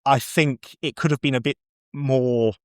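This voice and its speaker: A man chatting casually about films, acting out bits of dialogue as he tells it in an animated way. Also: medium pitch, unhurried, no room sound, clean studio sound. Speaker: podcast guest film reviewer. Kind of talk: casual, chatty film discussion.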